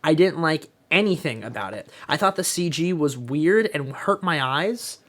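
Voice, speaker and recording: A man's voice: a little high, lively intonation, normal speed, a bit bright. A man who hosts a podcast, reviewing films casually in a blunt, emphatic, sweary way. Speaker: podcast host. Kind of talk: casual film review chat.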